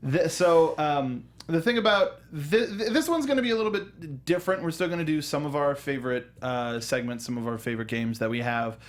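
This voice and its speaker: A man's voice: on the high side, animated, a little fast, few pauses. A man hosting a film review show in casual discussion, often restarting and repeating his phrases.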